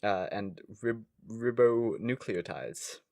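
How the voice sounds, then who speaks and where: A man's voice: lowish voice, slow, warm tone, no room sound, clean studio sound. Jokey young man, casual podcast co-host. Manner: jokey, self-deprecating. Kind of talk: casual comedic chat, reading quiz questions aloud.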